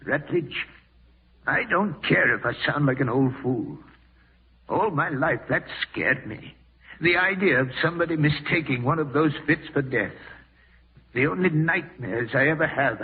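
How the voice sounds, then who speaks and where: A man's voice: fairly high pitch, animated, unhurried, full character voice. An actor playing an aged, irascible dying man haunted by fear, in a 1940s radio drama style. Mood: haunted, fearful. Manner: crotchety, brusque. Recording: muffled distant husky old radio recording.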